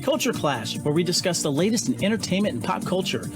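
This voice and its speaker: A man's voice: sits high, sing-song, fast, over music. A man reading a scripted promotional announcement for a podcast network.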